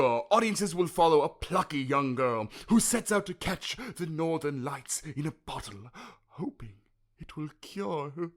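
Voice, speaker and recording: A haughty corporate boss in a comedy audio sketch. His voice sits on the high side and rises and falls dramatically. He announces with pompous grandeur and is easily exasperated. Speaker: co-presenter.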